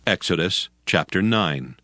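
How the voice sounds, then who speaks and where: A man narrating scripture from a script in a solemn, measured manner. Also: sits low, expressive, bright, forceful tone.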